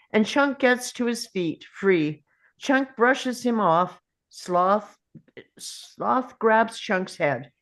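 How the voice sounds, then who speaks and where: Slow-paced woman reading stage directions. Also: medium pitch, fairly bright tone. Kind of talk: scripted narration at a table read.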